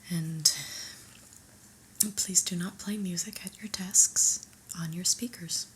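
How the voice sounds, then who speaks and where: A whispering female boss, acted by a woman in an ASMR roleplay, stern and unimpressed. Her breathy delivery is very slow and slightly low, with dry, officious emphasis. There is faint noise behind her. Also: fairly even intonation, fairly bright tone. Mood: exasperated.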